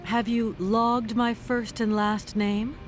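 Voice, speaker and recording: A woman's voice: on the high side, flat delivery, takes it slowly, music in the background. An actress voicing a polite, inquisitive adventure game heroine, courteous and questioning in her video game dialogue. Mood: polite, curious.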